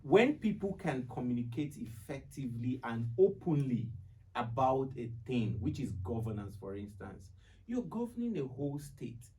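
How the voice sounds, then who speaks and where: Nigerian man, talk show host, warm mellow timbre, middle pitch, conversational and a bit halting. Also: very animated, rough recording. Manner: halting, repeats phrases for emphasis.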